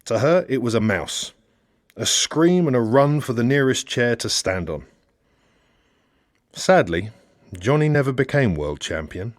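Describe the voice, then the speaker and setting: Animated male storyteller, dry close sound. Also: fairly low pitch, no echo. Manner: dramatic, expressive storytelling. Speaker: audiobook narrator. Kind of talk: scripted narration of a satirical novel.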